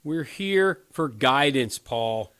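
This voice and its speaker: A man's voice: mid-range voice, animated, slow pace, a bit bright, clean tone. An actor in a radio play, playing an earnest, principled younger man who works on a crisis hotline and speaks with moral sincerity. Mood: reproachful.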